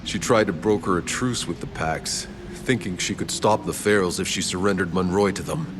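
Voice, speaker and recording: A man voicing a blunt, gruff mercenary hero in video game dialogue, swearing freely. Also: low voice, normal speed, background noise, lightly acted. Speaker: player character, mercenary.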